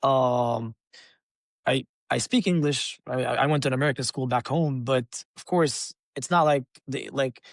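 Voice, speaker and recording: A man's voice: medium pitch, moderate pace, no room sound, studio quality. A young man from Egypt, a guest on an interview podcast, telling his story in a loose, chatty way with many restarts.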